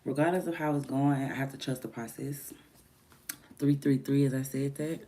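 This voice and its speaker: A woman chatting casually on a morning livestream from home, her voice deeper than usual from just waking up, rambling and drifting between soft murmurs and livelier bursts. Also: fairly even intonation, moderate pace, slight background noise. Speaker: livestreamer and music artist.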